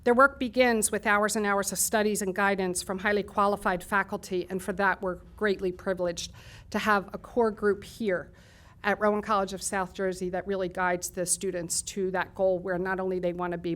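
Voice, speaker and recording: A woman's voice: mid-range voice, flat delivery, normal speed, fairly bright tone. A middle-aged woman leading a college nursing program, giving a formal speech of thanks at a ceremony. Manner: formal, reads lists of thanks with some fillers and restarts.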